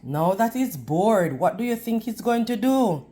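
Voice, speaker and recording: A woman reads a picture book aloud for young children at an unhurried pace. Her pitch moves up and down in a lively way, and she speaks like a teacher, prompting her listeners as she goes. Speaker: children's read-aloud storyteller. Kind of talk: storybook read-aloud with questions to young children.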